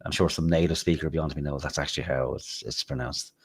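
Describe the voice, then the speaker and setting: A man's voice: a bass voice, steady tone, brisk, gravelly, husky. An Irish man hosting a podcast in casual chat, crude and mocking, often breaking into laughter. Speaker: podcast host.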